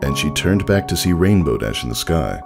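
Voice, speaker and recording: Very deep, creaky male storyteller. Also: big pitch swings, normal speed, loud music behind the voice. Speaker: fan fiction narrator. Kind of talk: scripted story narration.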